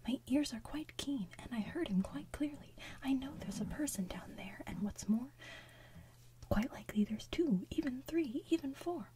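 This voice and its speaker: A kind and earnest elephant, as a woman whispers him, drawing out each sincere line slowly as if half singing. The whisper stays soft and mellow. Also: high voice, expressive, a little hiss or hum. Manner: slow, half-sung and sincere.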